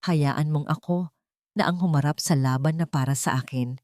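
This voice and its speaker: A woman's voice: low voice, moderate pace, a little mellow, dry recording, clean studio sound, close to an everyday voice. A woman voicing a resolute, protective elder sister in an audio drama, speaking firmly.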